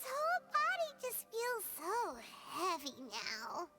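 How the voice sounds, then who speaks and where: A tiny, cute fairy sidekick, extremely high and squeaky. The childlike video game voice whines slowly, with big swings in pitch. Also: female voice, wildly swooping pitch, full character voice. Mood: exhausted, whiny. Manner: third-person, drawn-out whining.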